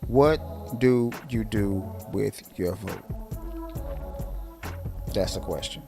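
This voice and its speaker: A man co-hosting a podcast with his wife, talking in casual political chat, drawing words out slowly and repeating phrases for emphasis. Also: fairly low pitch, creaky, music in the background. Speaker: podcast co-host.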